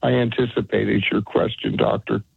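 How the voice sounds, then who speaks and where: Older guy in his 70s calling in, gravelly husky voice, tinny phone line, polite and a bit halting. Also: on the low side, little pitch movement, no echo. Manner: hesitant, polite, with pauses and fillers. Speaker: caller. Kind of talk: phone-in call.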